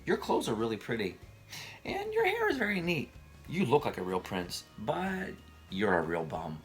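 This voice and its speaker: A man voicing a bold, clever young storybook princess in an excited, emphatic, breathy way. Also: medium pitch, normal speed, faint music behind. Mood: blunt, scornful.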